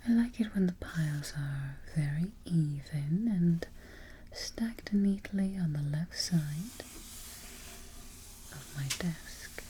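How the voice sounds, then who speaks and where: A woman acts out an evil queen in a hushed ASMR roleplay. The voice is whispered, lowish and mellow, moving very slowly with musing pauses. The queen is fussy and calm, with quiet menace. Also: slight background noise, rough recording. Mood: calm, particular.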